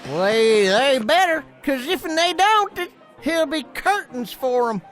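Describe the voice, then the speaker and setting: A woman's voice: high voice, animated, unhurried, a bit bright, soft background music. A woman voicing a blustering, eager cartoon cowboy sidekick who talks in emphatic Old West slang. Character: blustering, folksy cowboy deputy sidekick, eager and trigger-happy.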